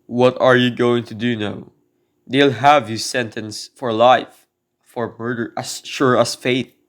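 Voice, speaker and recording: A man playing a desperate prisoner in an amateur radio drama, speaking earnestly and haltingly as he pleads his innocence. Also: medium pitch, fairly even intonation, lightly acted. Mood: anxious.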